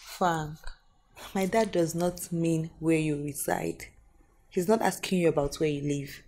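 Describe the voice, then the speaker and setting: An actress with a Nigerian accent playing a young bride-to-be, flustered and stammering. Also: female voice, sits low, fairly even intonation, a little slow, darkish tone. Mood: earnest. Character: young bride-to-be introducing fiancé.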